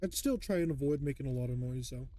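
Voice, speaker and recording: A man giving casual, musing reaction commentary in an unhurried, hesitant way. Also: mid-range voice, warm tone. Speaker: reaction video host.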